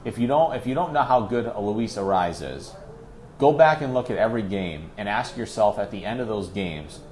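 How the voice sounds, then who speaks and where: A man's voice: fairly low pitch, lively intonation, moderate pace, a little mellow, a little hiss or hum. A man working as a radio play-by-play announcer, talking sports as a guest in an emphatic, conversational way with rhetorical questions. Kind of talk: animated sports discussion.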